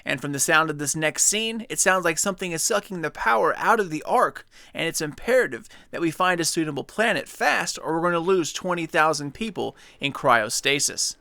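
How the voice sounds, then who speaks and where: A young man casually breaking down a video game trailer for his viewers, chatty and excited. His voice is bright, smooth and slightly high. The close mic sounds dry, with no room behind him. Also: a little fast, few pauses, no room sound. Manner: enthusiastic, chatty, speculative. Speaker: gaming channel host.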